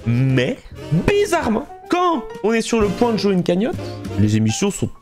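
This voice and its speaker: Excitable swearing man, swooping voice. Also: high-pitched, moderate pace, music in the background. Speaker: streamer. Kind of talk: live stream commentary.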